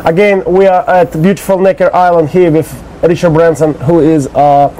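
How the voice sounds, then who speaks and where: Higher-voiced Russian man interviewing. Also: fairly even intonation, warm tone, a little hiss or hum, rough recording. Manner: halting, simple phrasing in imperfect English. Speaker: interviewer and show host. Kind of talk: casual interview. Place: recorded on location on an island.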